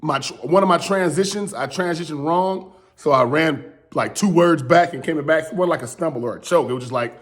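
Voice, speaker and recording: A man and battle rapper with a strained, hoarse voice, recapping his round in loose, rambling casual talk. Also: fairly high pitch, brisk. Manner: loose, rambling, repeats "you know what I'm saying". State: losing his voice.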